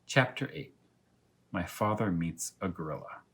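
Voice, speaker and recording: A man reading a children's story aloud as a teacher of young pupils, in animated narration. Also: sits low, unhurried, creaky, noisy background.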